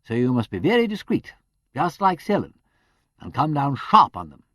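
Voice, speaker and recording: A man voicing a smooth, tactful English aristocrat with a polished manner. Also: medium pitch, wildly swooping pitch, moderate pace, a bit bright, dry recording, studio quality, voice pushed up.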